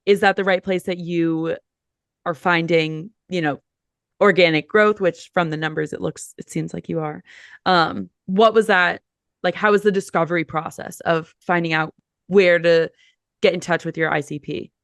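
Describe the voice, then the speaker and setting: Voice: mid-range voice, fairly even intonation, moderate pace, a bit bright, smooth, no echo, clean studio sound. A podcast host asking long, rambling questions, thinking aloud while asking. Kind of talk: casual interview questions.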